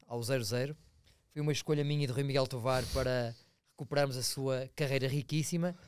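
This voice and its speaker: Portuguese guy from portugal hosting interview show, talks fast, smooth clear voice. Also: medium pitch, darkish tone, no echo, studio quality. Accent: European Portuguese. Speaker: host. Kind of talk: interview podcast presenting.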